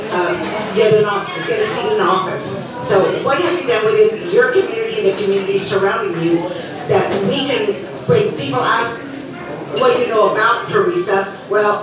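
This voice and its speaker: A woman from Texas in the audience, asking a candidate a direct, probing question with small restarts. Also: mid-range voice. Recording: echoey room, distant mic, crowd behind.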